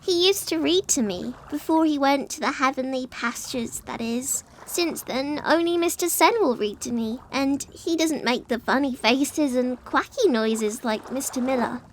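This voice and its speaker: A sweet little unicorn filly, acted out by a man, chattering in a very high, childlike voice. She is eager and innocent, like a young girl in a cartoon storybook. There is faint noise behind her. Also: a little slow, hardly any pauses. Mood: earnest, wistful. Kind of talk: dramatic reading of a cartoon fan story.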